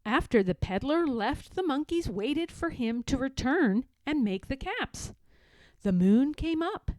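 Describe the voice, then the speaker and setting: A woman reading a children's story aloud in a dramatic, deliberate way, stressing key words. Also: high-pitched, big pitch swings, a little mellow, no room sound. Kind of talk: children's read-aloud narration.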